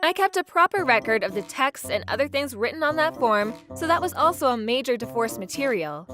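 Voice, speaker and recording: A woman narrating a first-person manga dub story in an expressive, theatrical way. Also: high voice, brisk, over music. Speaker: narrator and voice actor.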